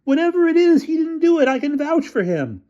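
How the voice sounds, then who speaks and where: A loud, loyal schoolboy bellows his support, forceful and stubborn, as a male reader puts him on. The voice jumps very high and strained above the reader's own, on a dull recording. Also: very animated, fairly quick. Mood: bellowing.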